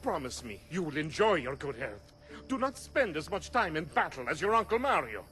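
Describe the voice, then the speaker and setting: A kindly, fretful Italian stableman talks in a fairly high voice that rises and falls a lot. He has an Italian accent and a weary warmth, in a game scene with music behind. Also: sing-song, normal speed. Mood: grateful, warm. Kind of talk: video game cutscene acting.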